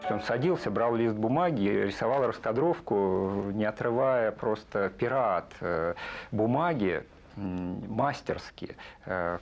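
A man in his forties giving a reflective documentary interview in a halting, hesitant manner. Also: deep voice, creaky. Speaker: animation film artist and director interviewed. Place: indoor room with film set behind, seated. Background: music.

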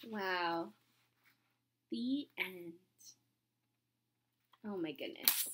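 A woman's voice: lowish voice, extremely slow. A woman in her thirties reading a picture book aloud to children expressively, with dramatic emphasis and chatty asides. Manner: animated, dramatic emphasis, asking the young listeners questions. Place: small room indoors at home.

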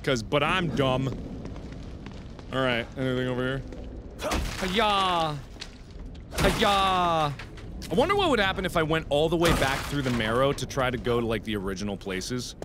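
Animated man commenting on gameplay. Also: medium pitch, moderate pace, pauses often, background noise. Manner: animated, loud sudden outbursts between mumbled asides. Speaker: gaming video host. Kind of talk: let's play commentary. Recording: game music behind the voice. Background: game music.

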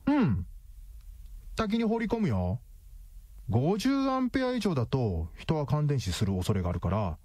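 A cocky detective, wildly animated pitch. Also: male voice, normal speed, pauses often, dry recording. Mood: taunting. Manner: smug, explanatory, theatrical. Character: cocky, calculating male detective, coolly triumphant. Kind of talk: radio drama acting.

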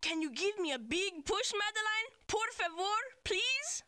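A performer playing a small, eager child in a children's cartoon game, squeaky and excitable. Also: unhurried, no echo, exaggerated voice.

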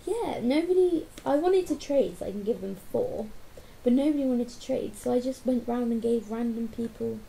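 A young woman with a hoarse, croaky voice, chatting casually while co-hosting a gameplay video. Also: on the high side, moderate pace, warm tone, slight background noise, poor audio. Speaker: co-host of a gaming channel.

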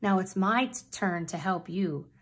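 A woman voicing the fire as a steady, grateful male figure who speaks firmly. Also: lowish voice, unhurried, slightly rough.

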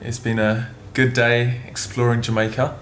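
A young man, talking excitedly to a handheld camera as a travel vlogger. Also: mid-range voice, normal speed, noisy background. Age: young adult. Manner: chatty, excitable, talks to camera. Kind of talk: casual vlog narration. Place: car, outdoors and hotel room. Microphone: camera held at arm's length.

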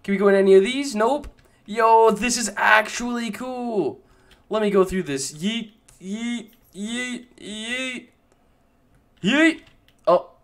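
A young man casually commenting on his own gameplay, chatty and excitable, talking to his viewers. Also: high voice, takes it slowly. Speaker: gaming YouTuber.

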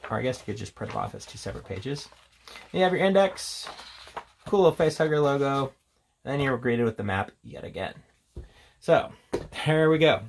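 A man giving a casual, unscripted walkthrough as a tabletop game reviewer, talking in a rambling, halting way with casual enthusiasm. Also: mid-range voice, gravelly. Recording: steady background noise, handling clicks.

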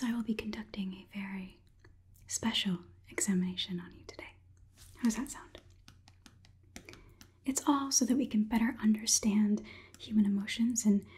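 Female robot overlord, acted by a young woman, ASMR roleplay, very breathy whisper, soft dark tone, close-miked, measured, polite, condescending. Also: on the high side. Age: 20s. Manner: slow, measured, formally polite, faintly condescending. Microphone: close sensitive microphone.

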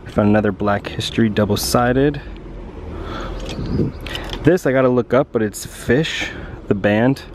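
A man's voice: mid-range voice, unhurried, pauses often. Young male vlogger, casual reseller chat, noisy car-and-street ambience. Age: young adult. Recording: clear outdoor and vehicle noise.

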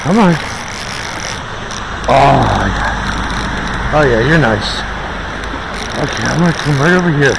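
A man talking casually to himself as he fishes, muttering encouragement and exclamations, with a gravelly voice. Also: medium pitch, animated. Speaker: angler filming his own fishing. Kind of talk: casual self-talk while fishing. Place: outdoors on a wooded river. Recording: noisy outdoor recording with river noise. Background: rushing river water.

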